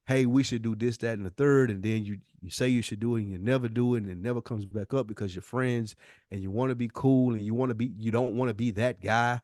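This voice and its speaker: A man's voice: medium pitch, fairly even intonation, fast, a little mellow, no room sound. Earnest American man, close dry sound. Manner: earnest, emphatic, repeats himself for emphasis. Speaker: podcast co-host. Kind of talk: candid heart-to-heart conversation.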